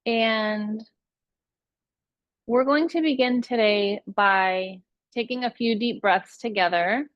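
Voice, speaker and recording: A woman teaching a guided yoga nidra meditation, giving soothing, unhurried instructions with long pauses between phrases. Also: on the high side, no room sound, dull audio.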